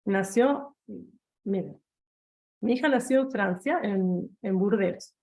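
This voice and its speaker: Una mujer argentina de mediana edad, profesora de seminario, que cuenta una experiencia personal a sus alumnos de forma conversacional, con muchas pausas y reformulaciones. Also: female voice, mid-range voice, dark tone. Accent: Argentine Spanish. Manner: conversational, many restarts and fillers, addresses listeners directly. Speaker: seminar lecturer. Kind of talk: personal anecdote in an online lecture.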